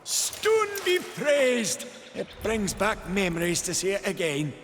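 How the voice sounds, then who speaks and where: Eager young craftsman, lively, reverberant. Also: high-pitched, takes it slowly, music in the background, full character voice. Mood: wistful, delighted. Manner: friendly, wistful, old-fashioned phrasing. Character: earnest, eager young male fantasy craftsman. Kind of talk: video game cutscene acting. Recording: long hall-like reverb.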